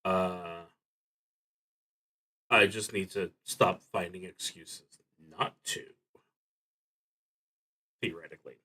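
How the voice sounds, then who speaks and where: Slow, halting man hosting a game stream. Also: sits low, fairly even intonation, sharp tone. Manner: halting, with long pauses mid-sentence; wry, self-deprecating. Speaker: host of a solo role-playing stream. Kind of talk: casual livestream talk introducing a game.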